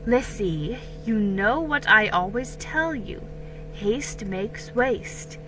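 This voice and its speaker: A woman voicing a patient but weary colonial mother, gently scolding in measured tones, in a dramatic storybook reading. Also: on the high side, expressive, over music, low-quality recording. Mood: patient, admonishing.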